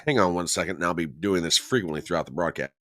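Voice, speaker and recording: A middle-aged man hosting a casual livestream, chatty and jokey, a little hoarse and nasal from a cold. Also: deep voice, fast talker, fairly bright tone, no room sound, clean studio sound. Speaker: host.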